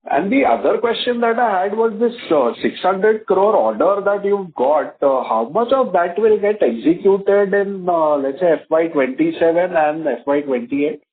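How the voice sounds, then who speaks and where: A man asks polite questions on an earnings call, hedging with "uh" and "sort of". His voice sits a little high. It comes through a tinny phone line with a faint hiss behind it. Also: lively intonation, normal speed, hardly any pauses. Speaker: analyst asking questions.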